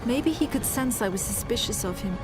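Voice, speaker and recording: A woman playing a serious military officer who recounts a war in measured, matter-of-fact game narration. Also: a little high, lively intonation, over music, lightly acted. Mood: grave, wary. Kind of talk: scripted cinematic game narration.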